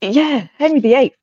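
A woman's voice: high-pitched, slightly rough, no room sound. A woman on a casual comedy panel who talks in a giggly, exclamatory way. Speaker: panel guest. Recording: dull lo-fi sound.

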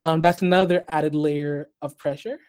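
A man born in Haiti and raised in the US, talking as a guest on a podcast interview in a measured way, with many ums and restarts. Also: fairly high pitch, fairly even intonation, a little mellow, smooth. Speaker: guest artist.

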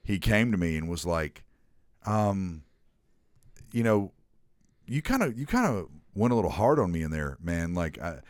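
Low-voiced guy on a podcast, dry close sound, joking around and rambling. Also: sing-song, moderate pace, long pauses, no echo. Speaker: podcast co-host. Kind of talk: casual podcast chat.

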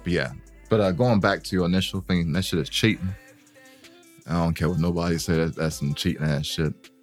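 A man's voice: low-pitched, steady tone, fairly quick, soft background music. A man co-hosting a podcast, bantering casually and teasing with laid-back slang. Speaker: podcast co-host.

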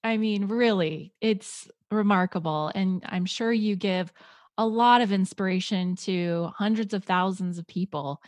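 A woman's voice: medium pitch, measured pace, no room sound. A woman hosting an interview podcast, enthusiastic and encouraging toward her guest. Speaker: podcast host.

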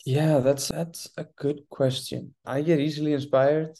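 A man's voice: on the high side, level tone, unhurried, warm tone. A man in his twenties from Iceland, a guest on an interview podcast, speaking thoughtfully with many restarts and repeated words. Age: 20s. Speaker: guest theater artist. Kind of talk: casual interview.

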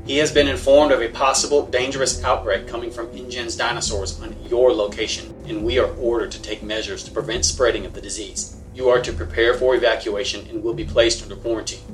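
A man's voice: mid-range voice, fairly even intonation, a little fast, few pauses, recorded from a distance, natural voice. Unyielding navy captain, male actor: authoritative audio drama delivery, off-mic, light music underneath. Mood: formal, stern. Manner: formal, authoritative.

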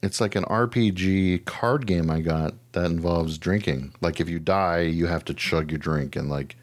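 A man's voice: deep voice, normal speed. A man co-hosting a podcast in casual, comedic chat, rambling and profane, often poking fun at himself. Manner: profane, self-deprecating, rambling. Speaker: podcast co-host.

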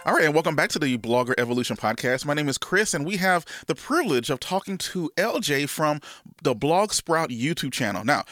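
Stuffy-nosed guy hosting his podcast, pumped up, kinda rambly, voice bright and punchy. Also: on the high side, no room sound, studio quality. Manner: enthusiastic, rambling with restarts. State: congested from a cold. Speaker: podcast host. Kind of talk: casual podcast intro and interview.

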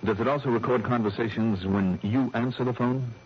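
An actor playing a cool, skeptical investigator in an old-time radio drama, speaking in a measured, dry, questioning way. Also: male voice, medium pitch, husky, highs cut off. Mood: skeptical, probing. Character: cool, skeptical male investigator who probes politely. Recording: thin, band-limited vintage radio recording.

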